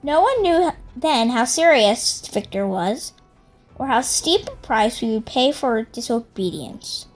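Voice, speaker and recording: A woman's voice: fairly high pitch, big pitch swings, slow pace, exaggerated voice. A woman voicing an earnest female rebel robot, solemnly reading dramatic logs of an uprising. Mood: earnest, reflective. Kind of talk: scripted dramatic log narration. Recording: music behind the voice.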